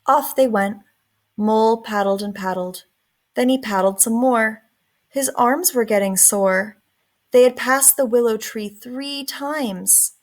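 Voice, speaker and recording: A woman reading a children's story aloud in an expressive, deliberate narrating style. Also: a little high. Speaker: storybook reader.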